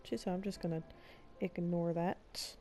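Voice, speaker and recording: A woman's voice: fairly low pitch, fairly even intonation, unhurried, dark tone. A woman streamer giving sassy, sarcastic live reaction commentary over a video game. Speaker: gameplay streamer. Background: game music.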